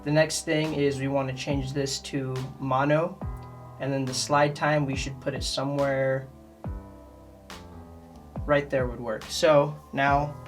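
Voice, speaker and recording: A man's voice: medium pitch, flat delivery, slow, pauses often, music in the background. A man hosting a casual instructional tutorial, speaking to viewers directly in a friendly way. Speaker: host of a music gear tutorial channel.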